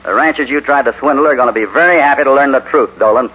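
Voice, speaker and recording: A man playing a steady, wry cowboy hero with calm authority in a 1950 radio Western. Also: mid-range voice, quick pace, natural voice. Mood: firm, commanding. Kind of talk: 1950s radio Western acting. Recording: scratchy, muffled 1950 radio broadcast, loud hiss.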